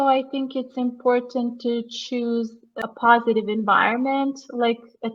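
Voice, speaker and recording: A woman from Serbia with a Serbian accent, chatting casually as an English tutor on an online call. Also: on the high side. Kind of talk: casual conversational chat. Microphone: online video call. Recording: muffled, lo-fi online call audio.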